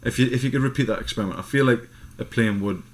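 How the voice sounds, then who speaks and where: A man's voice: mid-range voice, racing. A man with a Scottish accent chatting casually and informally as a podcast co-host, with some swearing. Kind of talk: casual chat.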